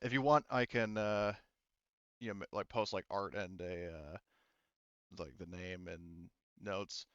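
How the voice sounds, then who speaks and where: A man with a low, smooth voice runs a tabletop game as game master. He talks casually and haltingly, correcting himself as he goes, in a dry but slightly dull recording. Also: steady tone, no echo.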